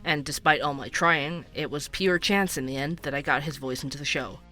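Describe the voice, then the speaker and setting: Bright-voiced actor as a musing male podcast host. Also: on the high side, normal speed, faint music behind. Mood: confiding, wry. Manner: musing, confiding, addresses listeners directly. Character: bored night-shift coffee shop worker turned earnest investigative podcaster. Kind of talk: scripted narrative podcast narration.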